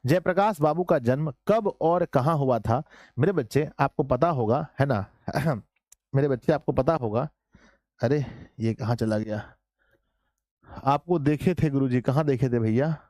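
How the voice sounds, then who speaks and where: A man teaching an online class in a casual, joking way, slightly hoarse, often calling his students children. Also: mid-range voice, a little slow, a little mellow, no room sound, clean studio sound. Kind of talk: casual live class lesson.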